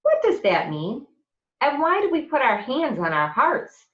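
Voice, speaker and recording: Woman voicing curious schoolkid, higher pitched, roomy. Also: wildly swooping pitch, unhurried, dull audio, full character voice. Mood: curious, eager. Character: curious young schoolchildren asking and answering in class.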